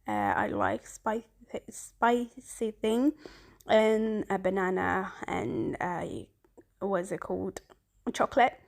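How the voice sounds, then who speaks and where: A woman's voice: mid-range voice, lively intonation, slow pace, creaky. Somali woman casually narrating her vlog. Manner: chatty, with frequent fillers and restarts. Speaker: vlogger.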